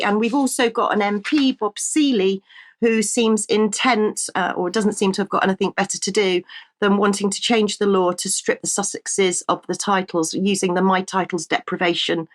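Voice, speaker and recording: A woman with a British accent presenting a news show, her voice a little croaky, chatting with fillers and restarts. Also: medium pitch, fairly bright tone. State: slightly croaky voice. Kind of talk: casual news commentary.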